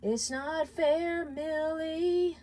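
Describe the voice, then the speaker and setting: A woman plays an imp husband at the end of his tether in a storybook drama. His voice is pushed up high, argumentative and pleading, full of exasperation. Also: steady tone, drawn-out words, warm tone. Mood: pleading, bitter singing. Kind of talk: dramatic storybook character reading.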